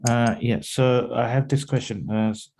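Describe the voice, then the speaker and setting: A man's voice: mid-range voice, fairly even intonation, moderate pace, darkish tone. A man from India asking questions hesitantly on a video call. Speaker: workshop attendee.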